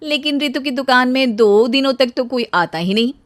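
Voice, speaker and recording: A woman narrates an animated tale from a script. Her voice is high, and she tells it as the storyteller between the dialogue. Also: moderate pace.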